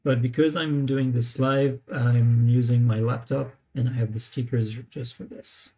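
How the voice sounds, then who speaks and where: Slow, hesitant man thinking aloud. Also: medium pitch, steady tone, warm tone. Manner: hesitant, many pauses and fillers, trying out words. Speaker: language learner streaming live. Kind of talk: live screen-share walkthrough, thinking aloud.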